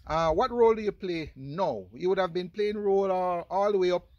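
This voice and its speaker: A man with a Jamaican accent and a lisp, hosting a casual interview in an animated way, often laughing. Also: high-pitched, fairly quick, no room sound, muffled recording. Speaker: interviewer, show host.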